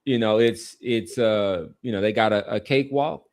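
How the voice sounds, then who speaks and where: Man musing haltingly on a podcast. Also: fairly low pitch, darkish tone. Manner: halting, reflective, rambling. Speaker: sports podcast host. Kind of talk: casual sports and society chat.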